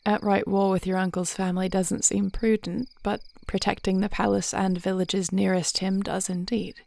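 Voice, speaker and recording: A woman playing a devoted warrior princess in an intimate fantasy audio roleplay, speaking in measured, formal phrases with a reassuring, thoughtful manner. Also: medium pitch, little pitch movement, gravelly, a little mellow, close to an everyday voice. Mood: calm, thoughtful. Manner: measured, articulate, formal old-fashioned phrasing.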